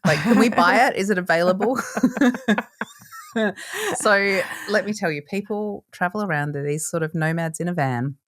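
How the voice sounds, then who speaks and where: A woman's voice: fairly low pitch, moderate pace. A woman, co-hosting a podcast in casual chat, bubbly and joking, often laughing. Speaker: podcast co-host.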